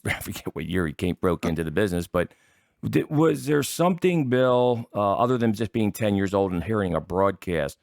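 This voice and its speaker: A man's voice: deep voice, creaky, darkish tone, no room sound, studio quality. An American man hosting a casual interview, asking wordy, meandering questions. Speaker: podcast host, interviewer.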